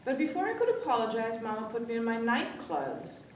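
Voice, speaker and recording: Female children's storyteller, expressive read-aloud, heard at a distance in a very reverberant room. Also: fairly quick. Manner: expressive, acts out the dialogue. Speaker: library story-time reader. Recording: echoey room, distant mic, faint rumbly noise.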